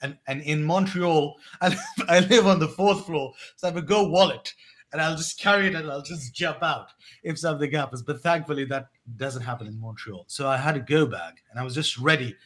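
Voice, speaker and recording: A man's voice: fairly high pitch, a bit bright. Guy in his 30s telling his story on a podcast, reflective, keeps restarting sentences. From born in Pakistan, raised in England. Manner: reflective, measured, with many restarts. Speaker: guest, education company co-founder.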